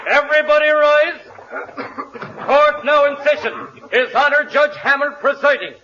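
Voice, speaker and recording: An actor playing an officious court bailiff, formally calling out announcements in a 1940s radio comedy. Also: male voice, very high voice, fairly even intonation, moderate pace, crowd noise. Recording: muffled 1940 radio recording with hiss.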